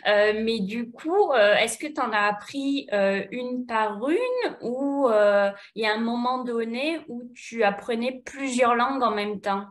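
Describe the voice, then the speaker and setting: A woman from France hosting an interview, asking questions slowly with many fillers. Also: on the high side, lively intonation. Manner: slow, halting, many fillers. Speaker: host, French tutor. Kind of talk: conversational interview.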